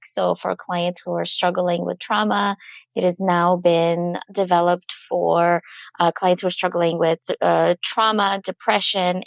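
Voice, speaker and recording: Woman guest from Ukraine, earnest, gravelly edge, dry muffled mic. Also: mid-range voice, no room sound. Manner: earnest, explanatory. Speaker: clinical psychologist, guest. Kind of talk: interview answers.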